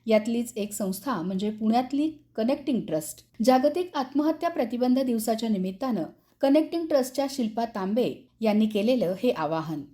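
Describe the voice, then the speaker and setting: A woman's voice: fairly high pitch, animated, brisk, dark tone. A woman reading scripted radio news as the presenter.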